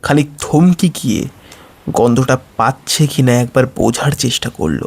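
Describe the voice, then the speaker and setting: A man's voice: medium pitch, animated, moderate pace, creaky, breathy edge. A man narrating a scripted horror story in a suspenseful style. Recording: music bed under voice. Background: music.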